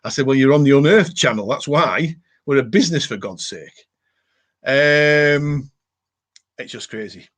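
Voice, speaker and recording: Cumbrian male host, casual rambling live chat with viewers, average pitch. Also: a little slow, long pauses, a bit bright. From Cumbria, England. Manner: rambling, chatty, repeats catchphrases. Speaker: livestream host.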